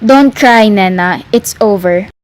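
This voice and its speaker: A woman's voice: medium pitch, a little slow, close to an everyday voice. A woman playing a fretful, demanding mother who questions insistently in an amateur radio play. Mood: weary.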